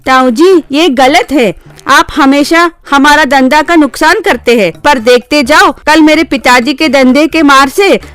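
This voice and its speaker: A woman's voice: high voice, unhurried, fairly bright tone, dry recording. A woman voicing a bold, outspoken little village girl, a potter's daughter, in a comic story with childlike manner. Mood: defiant.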